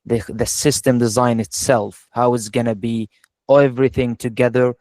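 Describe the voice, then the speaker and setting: A man from Syria giving an informal, plain-spoken webinar talk as a guest software engineer. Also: medium pitch, little pitch movement, normal speed, dry recording. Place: online video call.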